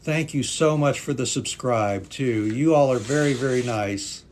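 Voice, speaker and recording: A man's voice: medium pitch, a little slow, darkish tone, a little hiss or hum. A native English-speaking man teaching English on a live stream, patiently reading viewer comments aloud and thanking them. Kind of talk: live-stream language class chat.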